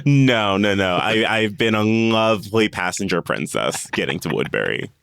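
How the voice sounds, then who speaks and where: A man's voice: lowish voice, lively intonation, moderate pace, vocal fry, fairly bright tone, clean tone, dry recording, clean studio sound. An American man giving his opinions in a casual chat as a podcast panelist.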